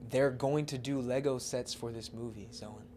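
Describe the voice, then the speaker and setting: A man's voice: medium pitch, fairly even intonation, normal speed, mellow, slight background noise. A man hosting a podcast, chatting casually about films and comics in an informal, slangy way. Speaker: podcast host.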